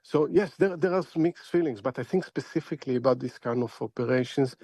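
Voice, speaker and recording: Guy doing analyst interview, keeps repeating words while thinking, medium pitch, no room echo. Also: warm tone, slightly rough. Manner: halting, repeats words as he searches for phrasing. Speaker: foreign affairs analyst, interview guest. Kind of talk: live interview commentary.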